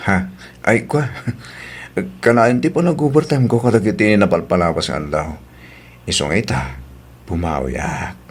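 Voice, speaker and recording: A man's voice: lowish voice, measured pace, pauses often, slightly rough. A man with a Filipino accent playing an evasive, guilty husband in an audio drama, hesitant and stammering. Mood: sheepish, affectionate. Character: evasive, guilty cheating husband making amends. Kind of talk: Ilocano audio drama acting.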